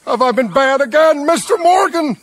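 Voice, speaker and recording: Very high-pitched, maudlin drunk. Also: male voice, lively intonation, moderate pace, no echo. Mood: tearful, remorseful. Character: maudlin, erratic drunken man of the Old West.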